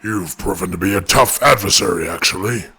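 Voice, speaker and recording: A man voicing a hulking raptor fighter, formal and courteous, with a deep gravelly voice in a measured delivery. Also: sharp tone, exaggerated voice. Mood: respectful.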